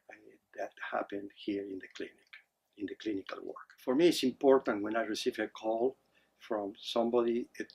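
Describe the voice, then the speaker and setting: Husky low-voiced man. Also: a little mellow, poor audio. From Argentina. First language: Spanish. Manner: measured, hesitant, with frequent fillers. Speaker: psychotherapist. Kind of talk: introducing his therapy practice to camera.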